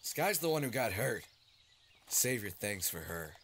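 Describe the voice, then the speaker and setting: An actor playing a gruff, protective young villager with a blunt, brusque way of talking, in an audio drama. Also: male voice, on the low side, very animated, a little slow, a bit bright, a little hiss or hum. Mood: gruff, casual.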